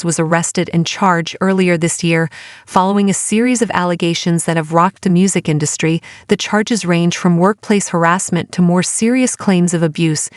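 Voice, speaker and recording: A woman narrates a scripted news story in a fairly low voice. Her phrasing is dramatic, like storytelling, and the sound is close and dry with little room to it. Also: no room sound.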